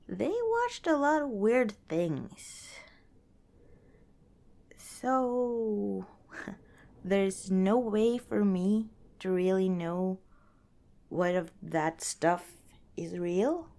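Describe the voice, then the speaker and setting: A woman playing a kind, cautious young scavenger in an ASMR roleplay, speaking softly and hesitantly, as if chatting to the listener. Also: a little high, full character voice. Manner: soft, hesitant, conversational, with sighs and small laughs.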